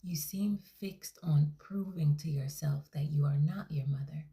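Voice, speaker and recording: A woman voicing a calm, soft-spoken therapist who asks probing questions in a slow, breathy near-whisper. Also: lowish voice. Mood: soothing. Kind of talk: comedy sketch acting.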